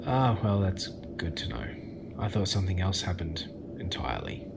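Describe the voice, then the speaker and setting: A man's voice: sits low, big pitch swings, moderate pace, creaky, full character voice. A man playing a confused, wary dishwasher in an audio drama. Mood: dazed, guarded. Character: confused, wary young dishwasher named Max. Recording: noisy room ambience behind.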